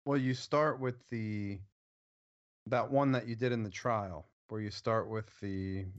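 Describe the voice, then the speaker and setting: A man co-hosting a casual podcast chat, rambling through his story with pauses and restarts. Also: fairly low pitch, fairly even intonation, muffled recording. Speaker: podcast co-host.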